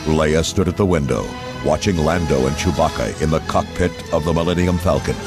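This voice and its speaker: Deep-voiced man narrating an adventure storybook. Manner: measured, theatrical storytelling. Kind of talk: dramatic scripted children's read-along narration. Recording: loud music and effects underneath.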